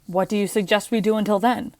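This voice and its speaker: A jaded, self-blaming submarine captain and navy veteran played by a woman in an amateur audio drama. She speaks at a middle pitch with a bitter edge, recorded very dry. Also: fairly even intonation, fast talker, a little mellow, no room sound, lightly acted. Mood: firm.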